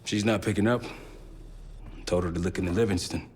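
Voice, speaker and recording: An actor playing a gruff, weary American special operations team leader in a video game cutscene. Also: male voice, deep voice, steady tone, a little fast, a little hiss or hum, natural voice. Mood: weary, low-key.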